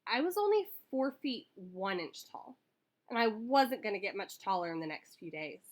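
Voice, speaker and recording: A woman reading a children's picture book aloud in an expressive, animated way that acts out the story. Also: medium pitch, moderate pace. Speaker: school staff member reading aloud.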